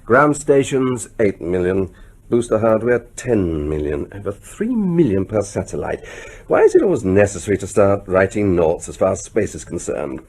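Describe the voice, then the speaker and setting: Husky, low, wry British prime minister. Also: male voice, very animated, a little mellow, full character voice. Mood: musing, businesslike. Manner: wry, conversational, brisk authority. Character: wry, combative newly elected male British prime minister. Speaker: actor in a radio drama. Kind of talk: British radio drama acting.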